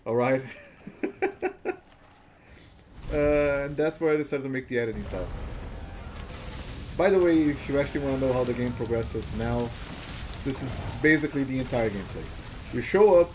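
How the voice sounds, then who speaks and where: A man's voice: mid-range voice, normal speed, long pauses, a little hiss or hum. Guy streaming, on his english, rambly and chuckly, lots of uhs, medium voice. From Brazil. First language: Portuguese. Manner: rambling and chuckly, with frequent "uh" fillers and restarts. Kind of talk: casual live-stream chat over gameplay.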